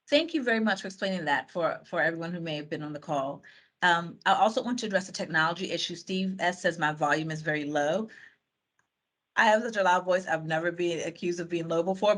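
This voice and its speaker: A woman's voice: on the low side, fairly quick, a bit bright. Chatty woman running an online meeting. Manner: chatty, thinks aloud with fillers and restarts. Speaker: meeting facilitator. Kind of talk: reading out and summing up audience answers on a video call. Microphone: video call.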